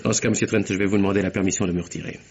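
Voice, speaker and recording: An actor playing an articulate young secretary in a vintage French radio mystery, telling his account with theatrical, deliberate precision. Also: sits low, fairly even intonation, a little hiss or hum. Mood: polite, light. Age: young adult. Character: composed, articulate young secretary explaining himself under suspicion. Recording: dull, muffled old radio recording.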